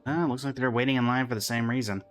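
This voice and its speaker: A man streams his casual reactions to a video game. He talks in a mid-pitched voice and drops dry, offhand asides, with faint game music behind him. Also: fairly even intonation, fast.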